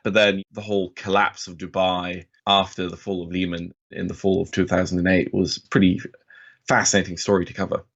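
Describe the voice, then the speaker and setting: A man's voice: sits low, little pitch movement, fairly quick, a bit bright, muffled recording. A man in his forties from Norway telling his story casually as an interview guest, enthusiastic and self-deprecating. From Oslo, Norway. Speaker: podcast guest, financial journalist.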